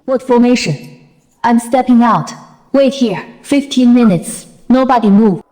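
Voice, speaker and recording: A young female general in an anime motion comic, acted by a woman, with a highish voice. She is bossy and sardonic, a weary office worker at heart who complains bluntly while giving orders. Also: unhurried, dark tone, natural voice, low-quality recording. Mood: curt, commanding. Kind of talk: dubbed motion-comic anime narration and dialogue.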